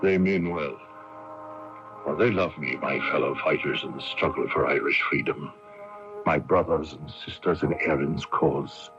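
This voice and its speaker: An actor playing a troubled, weary Irish patriot in prison in a radio drama, speaking haltingly and broodingly. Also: male voice, extremely low pitch. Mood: brooding, anguished. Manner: halting, brooding, repeating himself. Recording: muffled 1980s AM radio tape, music.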